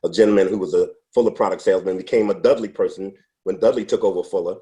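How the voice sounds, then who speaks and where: A man in his sixties, a podcast interview guest, telling his life story in an unhurried, reflective way with frequent restarts. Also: low-pitched, fairly even intonation, mellow, muffled recording. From United States. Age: 60s. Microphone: podcast microphone.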